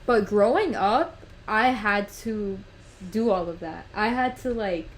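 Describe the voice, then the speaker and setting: A woman's voice: mid-range voice, animated, measured pace, poor audio. A woman of nineteen whose first language is Spanish, hosting a casual podcast chat and rambling from topic to topic with many "like"s and "literally"s. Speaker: podcast host.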